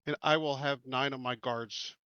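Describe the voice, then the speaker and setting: A man's voice: mid-range voice, fairly even intonation, normal speed, sharp tone, clear, resonant tone, no room sound, highs cut off. A man chatting casually as a player in a tabletop roleplaying game, informally speaking for his own character.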